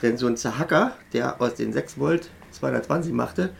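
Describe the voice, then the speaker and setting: Male hobbyist interviewee, medium pitch, heavy vocal fry, unscripted reminiscence. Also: normal speed, slight background noise. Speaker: amateur radio-taping enthusiast. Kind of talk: spontaneous interview recollection.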